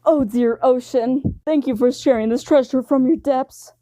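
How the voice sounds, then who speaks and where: A woman's voice: sits high, measured pace, mellow, no room sound, pitched above the performer's own voice. Woman playing a theatrical lovestruck young cook. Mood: adoring.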